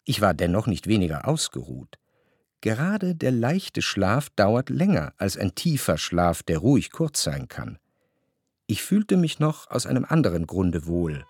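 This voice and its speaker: An actor playing a reflective, cultured man who recalls his past in a literary radio drama, with measured, precise diction. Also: fairly low pitch, wildly swooping pitch, darkish tone, slightly rough, exaggerated voice. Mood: pensive. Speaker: narrator.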